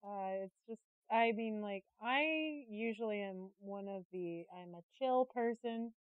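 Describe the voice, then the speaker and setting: A woman's voice: medium pitch, unhurried, no echo. Woman chatting candidly, dry lo-fi sound. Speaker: interviewed artist and creative director. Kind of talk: casual, candid podcast conversation.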